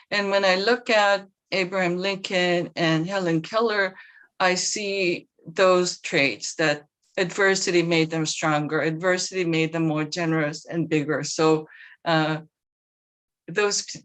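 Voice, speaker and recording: A middle-aged woman from Korea, a university president speaking as a panel guest in a thoughtful, measured way with frequent pauses and restarts. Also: on the low side, a bit bright. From South Korea. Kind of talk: reflective panel discussion.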